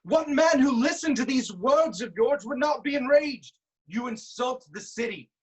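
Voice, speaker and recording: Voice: sits high, animated, normal speed, a little mellow, husky, rough recording. An actor playing a proud, hot-tempered king in a Greek tragedy reading, declaiming accusations with commanding formality. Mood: angry, demanding.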